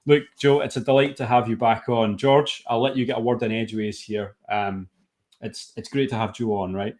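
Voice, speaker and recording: Friendly male podcast host, mid-pitched voice. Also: fairly even intonation, moderate pace, a little mellow. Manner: friendly, complimentary, informal. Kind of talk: casual chat.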